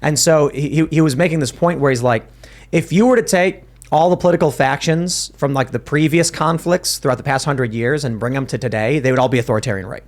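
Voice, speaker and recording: A man's voice: medium pitch, brisk. A man hosting a casual podcast panel, talking emphatically and often restarting his sentences. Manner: emphatic, animated, with frequent restarts. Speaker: podcast host.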